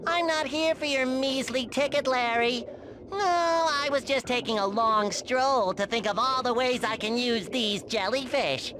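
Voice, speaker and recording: A man voicing a naive, eager, childlike sea sponge in a cartoon video game, squeaky, nasal, earnest and chirpy. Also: measured pace. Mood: dreamy, cheerful. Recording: clearly noisy game audio.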